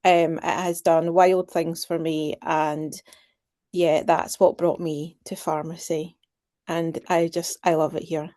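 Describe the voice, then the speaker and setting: A woman's voice: low-pitched, little pitch movement, slow, mellow, no room sound, clean studio sound. A Scottish woman in her thirties, chatting casually as an interview guest. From Scotland.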